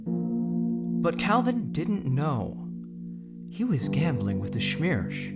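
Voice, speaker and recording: A theatrical storyteller voiced by a man narrates a dark whimsical tale in verse. The delivery is slow and rhythmic with lively swoops in pitch, over prominent guitar music. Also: heavily acted. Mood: dramatic.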